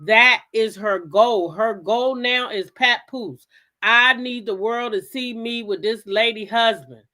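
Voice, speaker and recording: A woman's voice: a little high, slow, bright, forceful tone. An American woman hosting a gossip talk show, giving blunt, emphatic commentary in a casual style and repeating phrases for effect.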